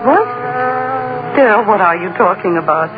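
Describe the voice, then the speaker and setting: An actress playing a devoted, solicitous sweetheart, earnest and easily alarmed, in theatrical, pleading old-time radio drama style. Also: female voice, mid-range voice, expressive, moderate pace, phone-quality sound, close to an everyday voice. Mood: alarmed, frantic. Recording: noisy, band-limited old radio broadcast.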